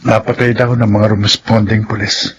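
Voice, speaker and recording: A man's voice: fairly low pitch, little pitch movement, moderate pace, dull audio, background noise, lightly acted. Cocoy, an actor as a reformed ex-convict father. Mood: somber. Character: reformed ex-convict man, now businessman father. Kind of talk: classic radio drama acting.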